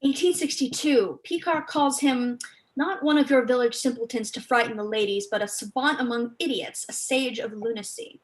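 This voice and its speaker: A woman's voice: on the high side, moderate pace, close to an everyday voice, poor audio. A young woman playing an assertive woman author in a staged play reading, lecturing articulately with dry scorn. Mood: reciting, intent. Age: young adult. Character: assertive, scornful woman author researching landscape garden history.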